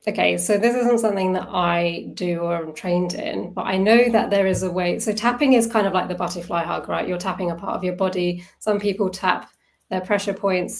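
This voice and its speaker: A woman facilitating an informal online wellbeing workshop, talking conversationally, thinking aloud and putting questions to her listeners. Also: lowish voice. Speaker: workshop facilitator on self-soothing.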